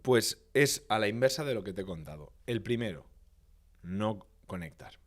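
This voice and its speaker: Low-voiced Spanish man explaining emphatically. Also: lively intonation, moderate pace. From Valencia, Spain. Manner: didactic, emphatic, lists points and repeats phrases for rhythm. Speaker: communication and public speaking expert. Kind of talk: podcast interview, explanatory.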